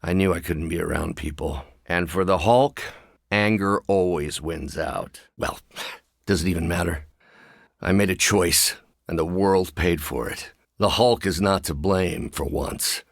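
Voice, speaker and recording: An actor playing a brooding, polite and intellectual scientist hero in a video game, speaking in a measured, earnest, hesitant way. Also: male voice, sits low, creaky, no room sound, clean studio sound, full character voice. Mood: troubled, remorseful.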